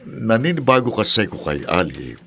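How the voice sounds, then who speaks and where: Low-voiced, kindly father. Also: sing-song, normal speed, sharp tone, heavily acted. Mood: concerned, gentle. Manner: apologetic, courteous. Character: kindly, concerned middle-aged father. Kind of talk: radio drama acting.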